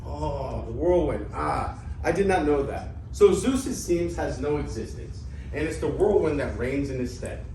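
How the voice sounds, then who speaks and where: A man's voice: a little high, lively intonation, normal speed, hardly any pauses, warm tone. A man playing an earthy, bumbling, debt-ridden would-be student in a theatrical reading of an ancient Greek comedy, with exclamatory delivery. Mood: curious, baffled. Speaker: amateur actor in a staged play reading. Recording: echoey room, distant mic, background noise.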